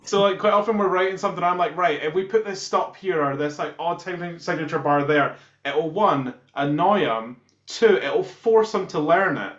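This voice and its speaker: High-voiced Scottish man in a roomy space. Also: moderate pace, hardly any pauses, fairly bright tone. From Scotland. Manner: jokey, anecdotal. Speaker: band musician being interviewed. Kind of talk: casual chat. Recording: roomy, echoey, muffled sound.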